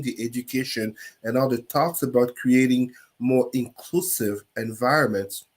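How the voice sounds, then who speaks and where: A man speaking as a panelist in an online discussion, making his case in a measured, rhetorical way over a video call. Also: mid-range voice. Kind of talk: opinionated panel commentary.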